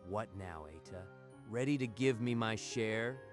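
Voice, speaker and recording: A man's voice: lowish voice, lively intonation, measured pace. A man playing a greedy, sneering villain in a manga dub, contemptuous and menacing. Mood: smug, threatening. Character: Hiroto, sneering greedy adult male cousin, villain. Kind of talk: dramatic manga dub dialogue. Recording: music behind the voice.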